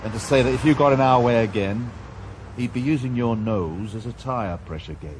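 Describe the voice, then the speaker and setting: An actor playing a sardonic, menacing Welsh copper. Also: male voice, medium pitch, normal speed, slight background noise, natural voice. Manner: sardonic, veiled threats. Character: menacing police officer, sardonic. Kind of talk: 1980s radio drama.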